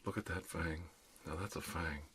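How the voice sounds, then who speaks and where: Low, murmuring ASMR dentist played by a man. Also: fairly even intonation, moderate pace, exaggerated voice. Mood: calm, explanatory. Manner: soft, often dropping into whispers, soothing. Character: calm reassuring dentist treating an undead patient. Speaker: ASMR roleplay performer. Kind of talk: ASMR roleplay, murmured one-sided dialogue. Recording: clear background noise, clicks.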